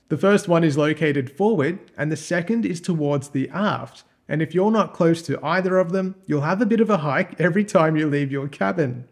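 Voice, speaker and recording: A man, giving upbeat, clear, scripted cruise advice to camera from a ship's suite. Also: a little high. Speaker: cruise vlogger. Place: cruise ship suite living room.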